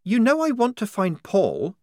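A man's voice: high-pitched, huge pitch swings, unhurried, no room sound, clean studio sound, pitched above the performer's own voice, full character voice. A man reading an audiobook, voicing a cautious, practical young woman who is disguised as a soldier. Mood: guarded. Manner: cautious, guarded.

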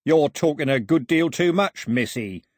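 A man voicing a gruff, short-tempered gunman in an audiobook, growling, sneering and coarse. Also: a little high, lively intonation, normal speed, darkish tone, no echo, studio quality, pitched above the performer's own voice. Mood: irritated. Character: gruff, menacing male gunman, short-tempered villain and bully.

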